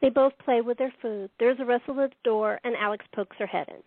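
A woman's voice: on the high side, fairly quick, slight background noise. A woman narrating a scripted radio play in the present tense as its narrator. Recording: thin, phone-like band-limited audio.

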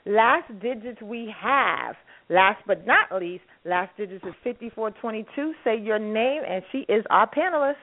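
A woman's voice: fairly high pitch, lively intonation, normal speed, hardly any pauses. A woman from Harlem hosting a call-in radio panel, chatty and laughing often. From Harlem, New York. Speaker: radio show host. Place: phone call. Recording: narrow phone-line sound.

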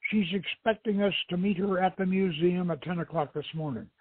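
A man voicing a brisk, cautious treasure hunter with a terse, commanding manner. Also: sits high, close to an everyday voice. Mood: brisk, wary. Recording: tinny band-limited radio stream, roomy echo.

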